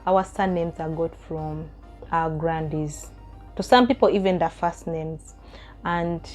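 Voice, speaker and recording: A woman's voice: fairly low pitch, a little mellow. A woman in her thirties with a Ugandan accent, chatting casually as a vlogger and reading out viewer questions before answering them with asides and light laughs. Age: 30s. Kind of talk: casual Q&A chat to camera.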